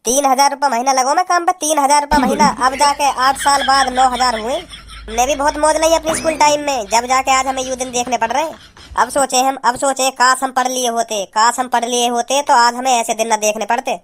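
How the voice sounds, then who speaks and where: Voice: sits high, fairly even intonation, fairly quick, fairly bright tone, slight background noise. A performer voicing a weary, earnest working uncle who gives advice in cartoon dialect, repeating phrases for emphasis. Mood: earnest, regretful. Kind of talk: comic dialect dialogue in a cartoon.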